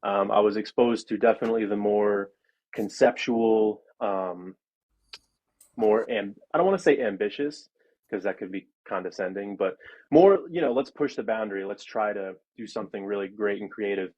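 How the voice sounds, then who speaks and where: A man in his early thirties, a guest architect, talks through his career in a loose, rambling style. His voice sits on the low side, and his sentences are sprinkled with "like" and "um". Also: normal speed, a little mellow, muffled recording. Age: 30s. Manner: chatty and rambling, lots of "like" and "um". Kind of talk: casual interview talk.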